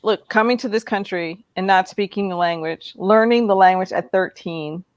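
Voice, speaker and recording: A woman's voice: medium pitch, normal speed, no echo. A woman hosting a casual live interview, chatty and enthusiastic, with lots of fillers. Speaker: host.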